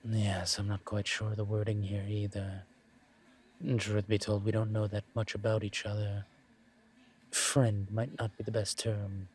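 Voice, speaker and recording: A man voicing a suave, teasing incubus professor in an intimate audio roleplay, courteous and measured, with deliberate pauses. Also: on the low side, completely flat delivery, husky. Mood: measured, gently wry. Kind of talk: intimate one-sided audio roleplay acting.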